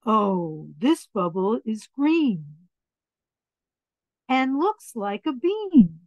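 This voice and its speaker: A woman reading children's picture books aloud in an expressive way, adding asides about the pictures. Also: a little high, extremely slow, no echo. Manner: expressive, acts out words and dialogue.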